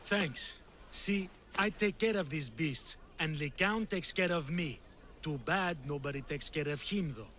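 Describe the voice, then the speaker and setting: Game bit part: plain-spoken animal tender, caring, highish bright tone, slight background noise. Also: male voice, a little slow. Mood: fond, regretful. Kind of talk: video game cutscene acting.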